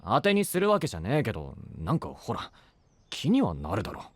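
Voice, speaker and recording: A man playing a brusque, easily flustered but earnest young knight in an anime voice drama, with blunt rough casual speech. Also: on the low side, wildly swooping pitch, fairly quick, no echo.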